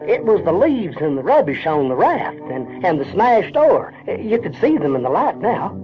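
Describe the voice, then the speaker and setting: Country boy narrator, Southern US accent, highish animated voice, halting and homespun, vintage radio drama sound with music. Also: dull audio. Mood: coaxing, casual. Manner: halting, repeats words, rustic grammar. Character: Huckleberry Finn, uneducated, conscience-troubled Southern boy narrating. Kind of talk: folksy first-person audio drama narration.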